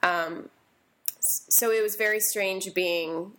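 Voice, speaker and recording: A woman raised in Virginia, whose first language was Greek but who speaks with no accent, talking in a relaxed, reflective way with restarts and easy laughs. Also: mid-range voice, slow. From Virginia, USA. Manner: reflective, frequent restarts and ums, laughs easily. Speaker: guest. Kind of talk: conversational interview.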